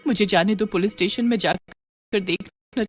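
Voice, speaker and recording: An anxious mother, acted out by a woman in a horror storybook. She speaks in a worried, pleading way at a medium pitch, over faint music. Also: moderate pace, lightly acted. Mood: distressed. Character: anxious mother searching for her missing son.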